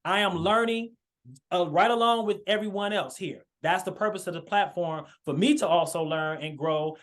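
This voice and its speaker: A man's voice: high voice, normal speed, a bit bright. A man hosting a marriage podcast over a video call, speaking earnestly with many fillers and restarts. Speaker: podcast host. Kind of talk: casual video-call chat.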